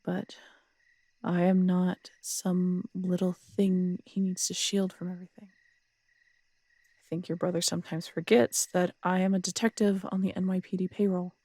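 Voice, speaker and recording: A woman's voice: fairly low pitch, flat delivery, unhurried, warm tone, no room sound. A woman playing a feisty, teasing, proud police detective in an intimate roleplay audio drama. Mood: exasperated, stubborn. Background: crickets at night.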